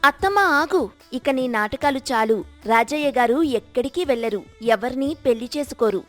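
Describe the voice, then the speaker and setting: A woman's voice: high-pitched, animated, normal speed, faint music behind. A woman playing a firm, reproachful young village wife in an acted moral story, explaining herself plainly.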